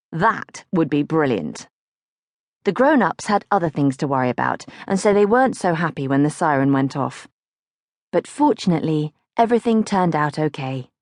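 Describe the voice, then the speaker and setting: A woman's voice: on the low side, no echo, clean studio sound. A woman narrating a childhood diary as an audiobook, reading expressively from a script. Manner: expressive, acts out questions.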